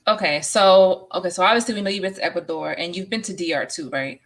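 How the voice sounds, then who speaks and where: A woman's voice: on the low side, fast. A woman interviewing a guest in a casual chat, asking curious follow-up questions. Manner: curious, prompting with short replies.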